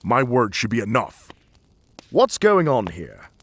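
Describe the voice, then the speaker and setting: A man's voice: medium pitch, huge pitch swings, slow, a bit bright, husky, no room sound, full character voice. A man voicing a grumpy, scornful warrior cat, grumbling his words in a storybook tale. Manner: grumbling, hissing.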